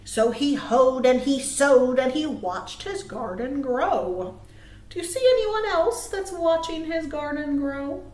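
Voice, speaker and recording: High-pitched woman reading slowly to children. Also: roomy sound. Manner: expressive, asks listeners questions, dramatic emphasis. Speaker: children's librarian reading at a virtual storytime. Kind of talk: animated read-aloud to young children.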